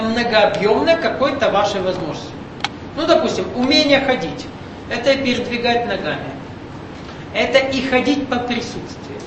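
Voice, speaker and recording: A man leads a spiritual seminar, explaining things patiently and often checking that the room is following. His voice is picked up from a distance in a very echoey room. A steady rumble runs underneath. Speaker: seminar leader. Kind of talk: esoteric spiritual lecture. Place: lecture room with an audience.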